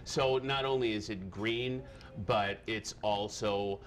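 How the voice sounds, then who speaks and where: A middle-aged man, a coffee shop owner, answering a live TV interview in a relaxed, friendly, slightly halting way. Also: on the low side, a little hiss or hum.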